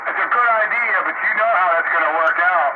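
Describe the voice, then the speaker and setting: Male radio hobbyist, casual on-air chatter, narrow tinny transmission, loud static. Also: high-pitched, fairly quick. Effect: two-way radio. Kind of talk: casual chat over CB radio.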